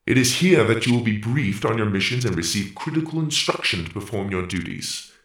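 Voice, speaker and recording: A man voicing a brisk, formal robot tour guide in a children's audiobook, precise and announcing, with a roomy echo. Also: lowish voice, lively intonation, fairly bright tone. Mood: solemn, instructive. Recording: roomy, echoing voice.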